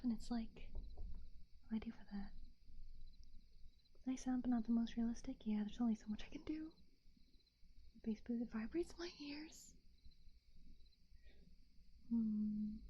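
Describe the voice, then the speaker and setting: A woman with a high, gentle voice drifts between soft speech and breathy whispering, musing casually to her live ASMR audience. She sits close to a binaural mic. The sound is slightly dull, with faint noise behind her. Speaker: live ASMR streamer and host. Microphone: close binaural ASMR microphone.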